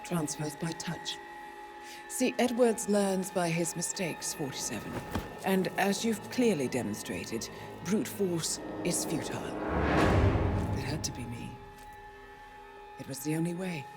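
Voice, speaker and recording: An actress playing a composed, scheming female handler in a game cutscene, with measured, controlled delivery. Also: on the low side, expressive. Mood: sorrowful. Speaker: video game character. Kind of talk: cinematic game dialogue. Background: game soundtrack music.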